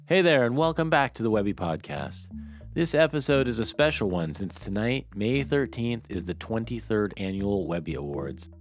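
A man's voice: on the low side, expressive, moderate pace, faint music behind. A man hosting a podcast, presenting and asking questions in a conversational studio style. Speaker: podcast host and interviewer. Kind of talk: podcast introduction and casual interviewing.

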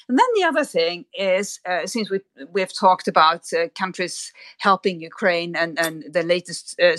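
A woman speaking as a guest analyst, thinking aloud in deliberate, hesitant phrases and often repeating words. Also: lowish voice, a bit bright, no echo. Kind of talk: spoken analysis in a discussion.

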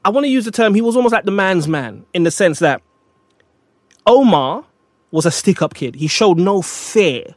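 A man's voice: sits high, lively intonation, moderate pace, dry recording, studio quality. A man co-hosting a casual talk, reflective and repeating phrases as he thinks. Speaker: podcast co-host. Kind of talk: casual chat.

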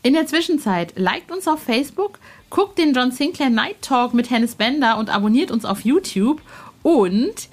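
A woman in her forties talking casually and effusively as a podcast host, in an informal, colloquial way. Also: fairly high pitch. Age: 40s. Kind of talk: casual chatty podcast talk.

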